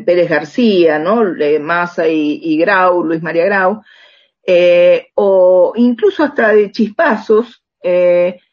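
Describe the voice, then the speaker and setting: Husky Argentine woman in reflective interview. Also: mid-range voice, dull audio. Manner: checks in with rhetorical tags. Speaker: interviewed author and radio drama researcher.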